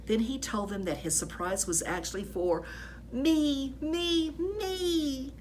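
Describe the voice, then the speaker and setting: A woman reading a children's book aloud as expressive narration, with animated delivery and drawn-out emphasis on key words. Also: high-pitched, a little hiss or hum.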